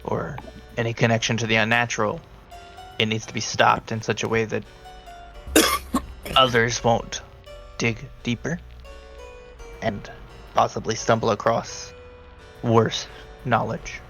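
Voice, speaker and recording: A man narrating a tabletop roleplaying game as game master, speaking haltingly and deliberately. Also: medium pitch, level tone, vocal fry, fairly bright tone, faint music behind. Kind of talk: narrating a tabletop roleplaying game, partly in character as a briefing handler.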